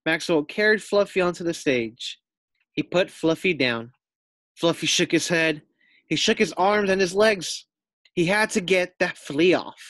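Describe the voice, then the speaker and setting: Male storybook reader, teacherly and expressive, slow pace, lively intonation, dry close sound. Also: high-pitched, no room sound. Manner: expressive, explains and asks questions. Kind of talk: children's read-aloud with asides to pupils.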